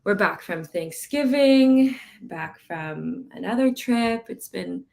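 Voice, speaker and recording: A woman's voice: medium pitch, expressive, takes it slowly, creaky, darkish tone. A young adult woman from a company's marketing team hosts a live interview, chatty and bubbly and a little under the weather. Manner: bubbly, chatty, laughs often. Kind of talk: casual chat and hosted interview.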